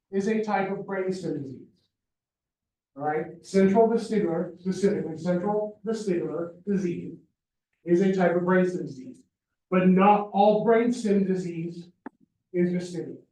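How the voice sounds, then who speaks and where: High-voiced guy lecturing vet students, jokey and lively, echoey hall, kinda far off. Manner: animated, joking, says "y'all" and "right?". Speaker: veterinary neurology lecturer. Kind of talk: university lecture. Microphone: handheld or lapel microphone.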